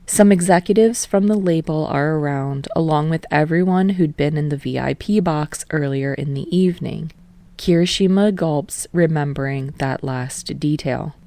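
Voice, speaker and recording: A woman reading fan fiction aloud in a calm, steady narration. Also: deep voice, normal speed, dry recording. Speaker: podfic reader. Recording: dry close home recording.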